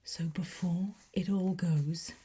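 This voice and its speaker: A woman playing a playfully conspiratorial demonology teacher in an ASMR roleplay, whispering slowly and deliberately with a breathy, softly encouraging voice. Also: fairly low pitch, steady tone, a little hiss or hum. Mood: hushed, playful, intrigued.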